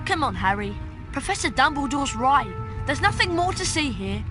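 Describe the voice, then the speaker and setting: A woman's voice: high voice, sing-song, normal speed, music in the background. A woman voicing an earnest young schoolchild in a wizard video game. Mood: urging.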